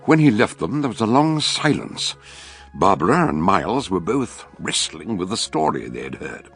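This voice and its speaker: An actor narrating a radio mystery drama as a storyteller. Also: male voice, low-pitched, very animated, normal speed, gravelly, faint music behind, heavily acted. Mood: storytelling.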